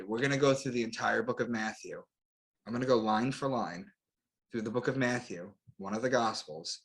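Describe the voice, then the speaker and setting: A man's voice: lowish voice, fairly bright tone, low-quality recording. A man giving an explanatory lecture as an interview guest, in short declarative phrases with rhetorical questions. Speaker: guest author and astrotheologist.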